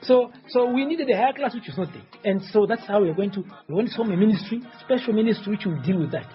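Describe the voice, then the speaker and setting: A man's voice: sits high, lively intonation, fast, dull audio, soft background music. A man in his forties, originally from Tanzania, answering interview questions as a presidential candidate in a rambling, insistent way and often repeating himself. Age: 40s.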